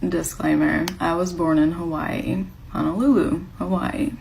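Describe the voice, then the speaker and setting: A woman's voice: on the low side, steady tone, a little slow, gravelly, darkish tone, slight background noise. A woman telling a personal story in a wry, matter-of-fact way. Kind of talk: casual anecdote.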